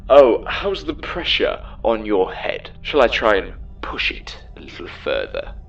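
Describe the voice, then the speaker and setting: A camp, sarcastic AI villain played by a man in an audio drama. He taunts slowly and theatrically, his pitch swooping with sinister mockery. Music plays behind a slightly dull recording. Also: wildly swooping pitch, creaky, full character voice. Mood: menacing taunting. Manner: theatrical, mocking, drawn-out sinister delivery.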